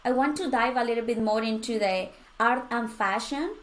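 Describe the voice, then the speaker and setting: Woman host, gushing, fairly high voice, friendly interview chat. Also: moderate pace, a bit bright. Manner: effusive, gushing, laughs often. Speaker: podcast host. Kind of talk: conversational interview.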